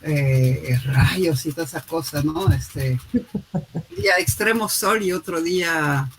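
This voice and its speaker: A woman author talking through her new book in a relaxed way, pausing often with fillers and restarts, heard over a video call. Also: sits low, a little hiss or hum. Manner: hesitant, many fillers and restarts. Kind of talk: casual spoken book presentation.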